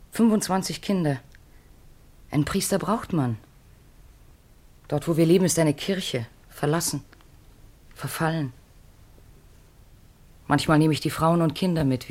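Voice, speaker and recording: An actress in a 1950s German radio play voicing an earnest, wistful middle-aged mother on the run, speaking in measured, pleading short phrases. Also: low voice, warm tone. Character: Marianne, earnest, wistful fugitive mother of grown children.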